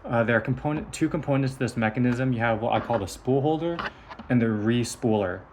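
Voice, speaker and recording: A man's voice: medium pitch, brisk, slight background noise. A man giving a hands-on technical demonstration of a device in a methodical, explanatory manner. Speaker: engineer presenting a prototype device.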